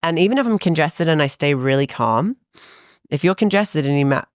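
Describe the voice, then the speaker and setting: Woman in her 30s gabbing about her routines, lively storyteller, dead dry close mic. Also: deep voice, no echo. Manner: chatty, animated storytelling with quick asides. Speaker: fitness coach guest. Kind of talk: casual podcast interview.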